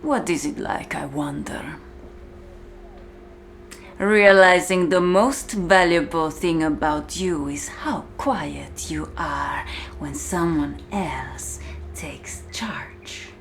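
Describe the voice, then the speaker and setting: A woman performing a cold, domineering female warden in an ASMR-style roleplay monologue, deliberate and condescending, speaking straight to the listener with hushed, whispery drops. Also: sits low, a little hiss or hum. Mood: cold, possessive.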